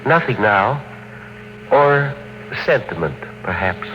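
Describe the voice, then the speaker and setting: An actor playing a sentimental, courteous but resolute inventor in a 1950s radio drama, measured and a little hesitant. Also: male voice, on the low side, expressive. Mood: wistful. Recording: dull, noisy vintage radio recording.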